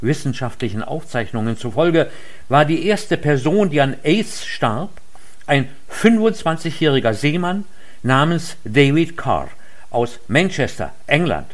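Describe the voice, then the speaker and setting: A man delivering a formal lecture in a measured, earnest way. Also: fairly high pitch, very animated. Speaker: lecture speaker. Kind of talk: formal read speech.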